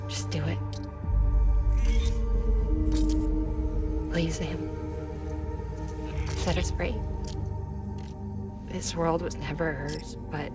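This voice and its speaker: An actress playing a woman engineer in a video game cutscene, explaining new gear in a brisk, matter-of-fact way. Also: lowish voice. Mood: sorrowful. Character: grown woman engineer, brisk, later sorrowful. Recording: game audio with score underneath.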